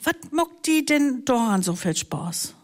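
A woman's voice: sits high, very animated, measured pace. A woman hosting a talk show, chatting casually with guests and prompting them to tell their stories. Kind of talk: casual interview, mixing in Low German.

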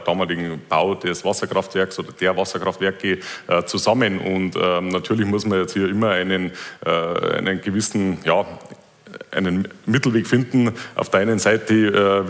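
A man with a very deep voice answers at a public panel, hesitating often. The sound carries a long reverb from the hall. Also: fairly bright tone. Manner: hesitant, many fillers. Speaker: local politician answering questions.

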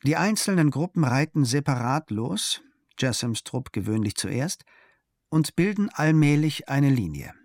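A man calmly reading a travel diary aloud in a measured storytelling manner, recorded close to a studio mic. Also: a little high, no echo. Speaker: narrator.

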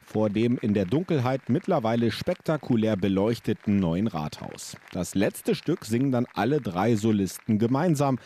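A man's voice: lowish voice, expressive, moderate pace, few pauses, mellow, a little hiss or hum. A man reading a scripted radio report from a concert event. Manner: smooth, read-out narration.

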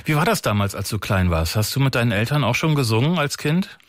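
A man's voice: mid-range voice, sing-song, fast talker, no echo. A male radio presenter chatting with a guest and asking friendly follow-up questions. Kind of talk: radio interview.

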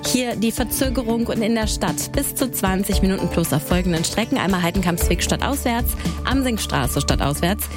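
A woman's voice: medium pitch, expressive, normal speed. A woman presenting weather and traffic on radio in a chatty, upbeat way. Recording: radio studio with music underneath. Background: music bed.

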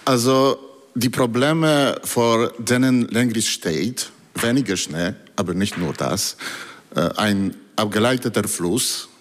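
A man speaking German as a second language, asking a question at a public forum, halting and reading from notes with many fillers. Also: fairly low pitch. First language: not German. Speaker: audience member asking a question. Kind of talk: public town hall question. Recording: hall reverb.

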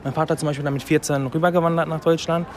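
A man's voice: a little high, little pitch movement, very fast. A man in his twenties from Germany, speaking spontaneously as an interviewee. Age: 20s. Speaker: interviewee, temple visitor. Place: busy festival site, recorded on location. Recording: crowd noise around the voice.

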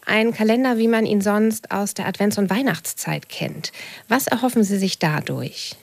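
A woman pastor hosting a church radio interview, asking clear, composed, scripted questions. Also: medium pitch, normal speed, dry recording.

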